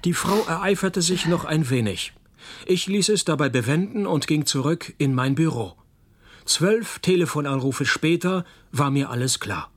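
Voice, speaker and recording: Husky, deadpan private detective. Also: male voice, fairly high pitch, normal speed. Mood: dry, deadpan. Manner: deadpan, sardonic. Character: wry, unflappable male private detective narrating his case. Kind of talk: scripted radio play narration and dialogue.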